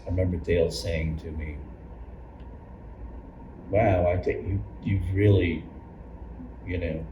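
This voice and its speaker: A distant, noisy recording of a man in a group conversation. His voice is very low, and he reminisces casually, often stopping to rephrase. He sounds set back from the microphone. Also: steady tone, slow pace, highs cut off. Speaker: church member recalling past challenges. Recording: echoey room, distant mic, clear noise.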